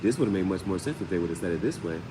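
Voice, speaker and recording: Man, low-pitched voice, casual tarot host, slangy, repeats phrases, echoing room, noticeable background noise. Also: rapid-fire. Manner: repeats phrases, peppers talk with slang and sound words. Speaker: tarot reader, host of a live reading show. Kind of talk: casual live tarot reading, spoken off the cuff. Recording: roomy long reverb, clear steady background noise.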